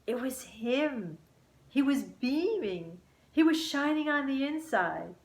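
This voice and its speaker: A woman narrating a children's story aloud in an expressive storytelling manner. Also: sits high, slow pace, echoey room.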